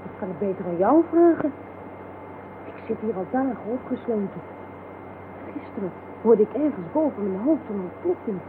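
A woman's voice: medium pitch, lively intonation, normal speed, close to an everyday voice. A woman acting a frightened but defiant captive in a radio play, talking bluntly and informally. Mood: bewildered, wary. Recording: noisy, tinny old radio play recording.

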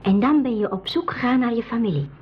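A caring, resolute girl, played by a woman in an old radio drama. Her voice is fairly high and very animated, with an earnest delivery. The recording sounds dull. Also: fast talker, slight background noise, close to an everyday voice. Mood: compassionate. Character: caring, resolute young girl traveller, Marleen. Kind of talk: 1980s school radio play acting.

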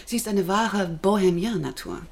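A woman's voice: medium pitch, expressive, moderate pace, creaky, darkish tone, husky. A woman playing a self-assured, teasing French society lady who mentors and commands, in a German radio play. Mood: playful, confiding.

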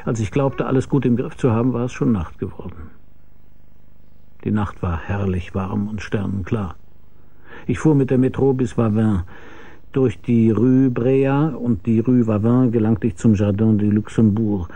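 Radio noir detective: husky, low and creaky, weary, laconic, deadpan narration. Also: male voice, normal speed, no echo. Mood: tense narration. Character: weary hard-boiled male private detective narrating his case. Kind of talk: radio crime drama narration and dialogue.

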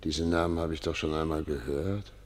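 An actor playing a frail, insistent blind old ruler in a German radio play. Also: male voice, very deep voice, steady tone, brisk. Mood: brooding.

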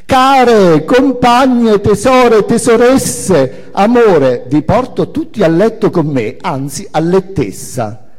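A man's voice: sits high, expressive, slow, pitched above the performer's own voice, heavily acted. A man voicing a flamboyant, theatrical self-styled countess, declamatory and camp, in a stage reading. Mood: exuberant. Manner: declamatory, mock-indignant, feminizes every word. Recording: reverberant hall, music behind the voice.

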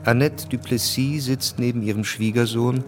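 A man narrating a radio drama, measured and literary in his storytelling. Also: mid-range voice, fairly even intonation, faint music behind.

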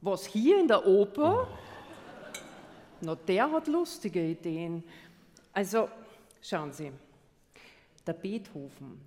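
A woman with a Viennese accent trades teasing, theatrical banter from a concert stage. Her mid-pitched voice carries the long reverb of a large hall, and she sounds playfully indignant. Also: animated, slow pace, long pauses, a little mellow, a little hiss or hum. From Austria. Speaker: co-presenter. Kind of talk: comedic carnival stage banter.

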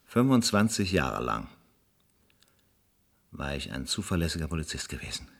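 A man's voice: rumbling low, big pitch swings, moderate pace, gravelly, fairly bright tone, full character voice. An actor playing a remorseful old former policeman, reflective and weighty, in a radio play. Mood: reminiscing. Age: older. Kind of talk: German radio play acting.

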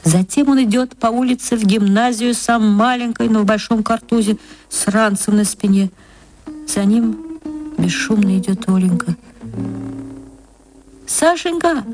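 A woman, an actress, reading a short story aloud in an expressive, tender and dramatic way. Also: medium pitch, normal speed, soft background music, low-quality recording. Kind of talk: expressive literary narration.

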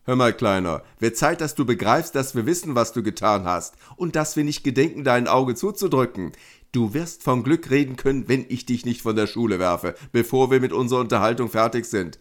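A man playing a stern, threatening high school principal with an authoritative, theatrical manner in an audio drama. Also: animated, fairly quick, natural voice. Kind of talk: fan audio drama acting.

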